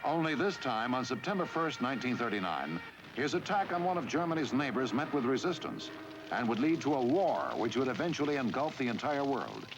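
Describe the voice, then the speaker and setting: Male newsreel narrator with lively intonation. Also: medium pitch, brisk, highs cut off, noisy background. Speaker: documentary narrator. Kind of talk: scripted historical newsreel narration.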